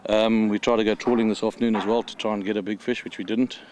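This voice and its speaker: A man's voice: lowish voice, little pitch movement, fast talker, darkish tone, a little hiss or hum, low-quality recording. A man giving a casual, hesitant and downbeat interview as a competitor.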